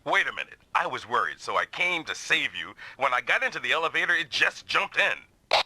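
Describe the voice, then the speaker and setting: A man's voice: fairly high pitch, big pitch swings, a little fast, bright, forceful tone, a little hiss or hum, full character voice. An actor voicing a big, burly, good-natured but touchy male mech pilot in an anime-style video game, theatrical and blustering. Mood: indignant, angry.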